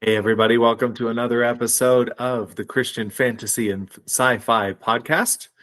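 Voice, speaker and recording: A man's voice: medium pitch, steady tone, normal speed, a bit bright. A man hosting a podcast in a casual, conversational style. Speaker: podcast host. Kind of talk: casual chat.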